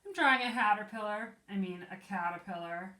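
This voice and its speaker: A woman voicing an excitable, wishful young girl from a storybook, exclaiming earnestly. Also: mid-range voice, brisk, natural voice. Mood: explaining. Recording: echoey room.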